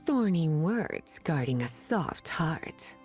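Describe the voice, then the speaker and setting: A woman's voice: deep voice, big pitch swings, takes it slowly, darkish tone, soft background music, full character voice. An actress playing a sultry, taunting demon temptress in a video game, teasing and purring her lines. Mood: teasing, seductive.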